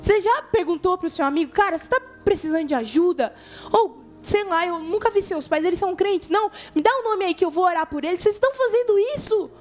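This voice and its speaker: High-voiced Brazilian woman, informal youth preacher. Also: lively intonation, fast talker, a little mellow, soft background music. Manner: colloquial, conversational, addresses listeners directly, acts out dialogue. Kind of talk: informal youth sermon with storytelling.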